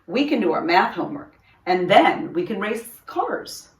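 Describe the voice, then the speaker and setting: A woman's voice: mid-range voice, sing-song, measured pace. A woman voicing a cheerful, helpful young boy cat pupil in a storybook, upbeat and encouraging. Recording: roomy echo, distant microphone.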